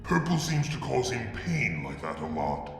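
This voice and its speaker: A man reading a fanfic aloud in a soft, halting first-person narration. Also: medium pitch, big pitch swings, creaky, echoey room, big-room sound, faint music behind. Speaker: fanfic reader. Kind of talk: dramatic story reading.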